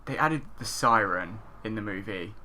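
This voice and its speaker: Low, bright-voiced man chatting casually. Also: animated, moderate pace. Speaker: podcast co-host. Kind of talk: casual chat.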